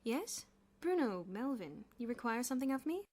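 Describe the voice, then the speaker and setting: A woman voicing a suave, unflappable schoolboy who talks calmly and assuredly. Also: a little high, moderate pace. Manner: calm, smooth, assured.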